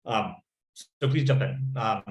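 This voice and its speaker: A man's voice: lowish voice, slow pace, a little hiss or hum. A man speaking as a panelist in an academic discussion, searching for words with many restarts, on a video call.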